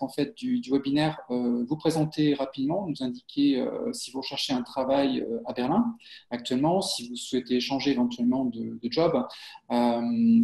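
French guy on a webcam webinar, gravelly voice, roomy echo, keeps stalling on fillers. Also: mid-range voice, a little mellow. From France. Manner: halting, many fillers and repeated words. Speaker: webinar host, job site co-founder. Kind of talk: hesitant live webinar talk. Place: office with a printed wall behind. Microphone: webcam on a video call. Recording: echoey room on a webcam.

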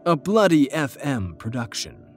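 A man's voice: medium pitch, sing-song, moderate pace, darkish tone, faint music behind. A man reading scripted credits as an announcer.

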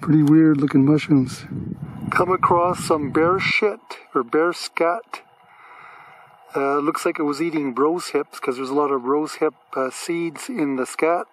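A man filming a hike and calmly pointing out the views and wildlife from behind the camera on a mountain road. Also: fairly high pitch, measured pace, a little hiss or hum, rough recording. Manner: plain, matter-of-fact pointing out of sights. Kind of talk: casual narration behind the camera. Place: outdoors on a mountain forest road. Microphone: camera mic, speaking from behind it.

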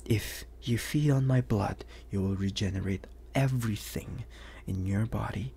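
Man acting slow, low, suave vampire hunter. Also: dark tone, a little hiss or hum. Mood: coaxing, explanatory. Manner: slow, teasing, breathy half-whispers with lingering pauses. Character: suave, menacing, flirtatious male vampire bounty hunter. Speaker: voice actor. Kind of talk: ASMR roleplay acting.

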